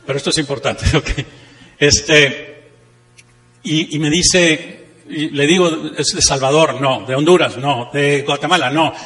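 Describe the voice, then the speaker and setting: A man's voice: a little high, fairly even intonation, measured pace, fairly bright tone. A man with a northern Mexican accent giving a motivational seminar talk, posing rhetorical questions and repeating key phrases with emphasis. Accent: northern Mexican Spanish. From Monterrey, Mexico. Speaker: seminar speaker, network marketing trainer. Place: seminar room, speaking to an audience. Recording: echoey hall with long reverb.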